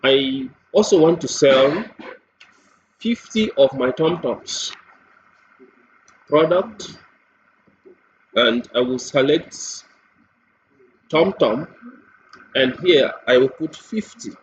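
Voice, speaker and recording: A man with a Nigerian accent gives an unscripted, step-by-step spoken walkthrough as a software demonstrator. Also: a little high, lively intonation, slow pace, long pauses. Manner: step-by-step explaining. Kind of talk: screen-recorded product walkthrough, unscripted. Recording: dull lo-fi mic with faint noise.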